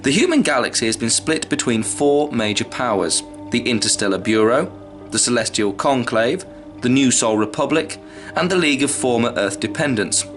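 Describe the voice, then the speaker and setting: A man reading scripted science fiction lore as a solemn, measured narrator. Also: mid-range voice, expressive, fairly bright tone, faint music behind. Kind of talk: scripted dramatic sci-fi narration.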